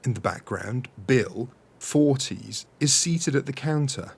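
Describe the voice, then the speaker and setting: An actor playing an earnest director at a table read, narrating a script in an audio comedy. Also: male voice, medium pitch, animated, slow pace, gravelly, slightly rough, full character voice.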